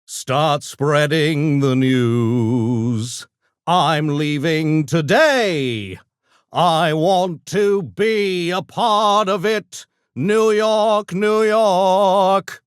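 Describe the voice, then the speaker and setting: A man's voice: a little high, drawn-out words, smooth, dry recording, clean studio sound. A man voicing an urbane, mischievous gremlin for a video game, with posh, pompous, theatrical and mock-polite diction. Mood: crooning. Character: urbane genteel mischievous gremlin, a genetically engineered intellectual creature. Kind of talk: video game character voice lines.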